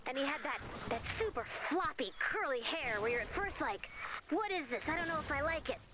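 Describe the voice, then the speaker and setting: A woman's voice: sits high, expressive, brisk, heavy background noise. An actress voicing a flustered, lovesick young woman in a video game, rambling awkwardly and interrupting herself as she goes. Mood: nervous storytelling. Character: flustered, chatty, lovesick young woman improvising a camp scary story.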